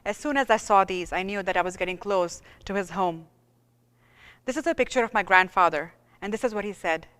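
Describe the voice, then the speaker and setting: A woman's voice: medium pitch, fairly bright tone, clear, resonant tone. Earnest woman lecturing in a hall. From India. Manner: earnest and measured. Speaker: radiologist giving an oration. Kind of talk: formal lecture. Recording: reverberant hall.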